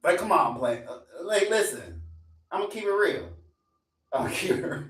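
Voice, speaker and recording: Young man, twenties, lively swooping pitch, emphatic profane live-stream rant, repeats lines, roomy echo, off-mic distance. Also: moderate pace. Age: 20s. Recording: echoey room, away from mic.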